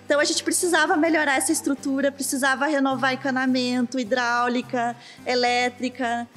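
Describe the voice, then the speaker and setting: A woman in her thirties with a Brazilian Portuguese accent explains the work in a bright, high voice. She talks into a handheld reporter mic in a large empty kitchen, with faint music underneath. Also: level tone, brisk. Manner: explanatory, uses filler "né". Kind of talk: on-site interview.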